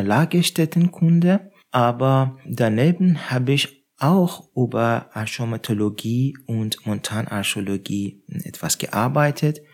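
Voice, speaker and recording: Slow, hesitant man. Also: mid-range voice. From Iran. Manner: hesitant, many pauses and fillers. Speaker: guest researcher. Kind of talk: casual interview answers.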